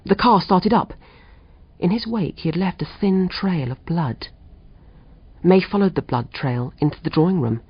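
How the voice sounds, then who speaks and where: A woman's voice: fairly low pitch, a little slow, husky, no echo. A woman reading a suspense story as an audiobook narrator in a scripted narration style. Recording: dull, dry audiobook recording.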